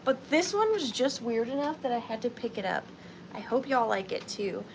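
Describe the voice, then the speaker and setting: A woman hosting a live vintage sale from her home, talking softly and chattily, sometimes dropping to a whisper. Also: on the high side, very animated, vocal fry, slight background noise, low-quality recording. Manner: soft, slow and chatty, drifting into whispers. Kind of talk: live auction selling chat. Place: home studio with ring light.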